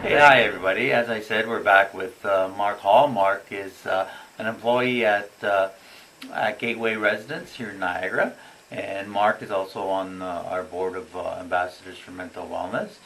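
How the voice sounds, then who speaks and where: A man's voice: on the low side, fairly even intonation, a bit bright, low-quality recording. Guy hosting a laid-back interview, stop-start questions, bit of background noise. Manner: halting, repeats and rephrases questions. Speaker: interviewer and host. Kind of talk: casual interview.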